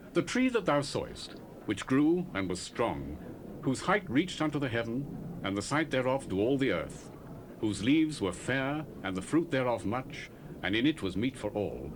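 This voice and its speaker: An earnest, respectful prophet, played by a man, gives grave counsel with a voice that rises and falls widely. Clear tape hiss runs under the old cassette recording. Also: medium pitch, natural voice.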